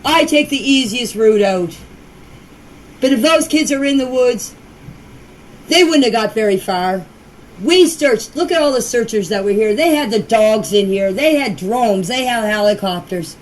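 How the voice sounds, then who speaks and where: An older woman giving an informal personal account in a deliberate, halting way, with short emphatic phrases, recorded as an amateur voice message. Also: on the high side, lively intonation, a little hiss or hum, low-quality recording. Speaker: relative recounting events. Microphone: voice clip recorded for group chat.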